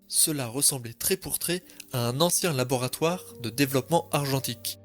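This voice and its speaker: A man's voice: medium pitch, a little fast, faint music behind. A man narrating a scripted story in a composed, literary storytelling manner.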